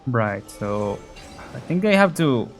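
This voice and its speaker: A man's voice: mid-range voice, sing-song, takes it slowly. Offhand male gamer with mid-range voice. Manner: offhand, muttering asides, chuckly. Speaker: gaming video host. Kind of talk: casual let's play commentary. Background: game music.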